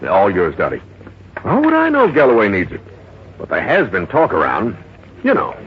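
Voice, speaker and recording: An American actor playing a gossipy, easygoing small-town detective sergeant in a folksy, rambling 1950s radio drama style. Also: male voice, on the low side, wildly swooping pitch, normal speed. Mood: easygoing, skeptical. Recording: muffled, noisy vintage radio recording.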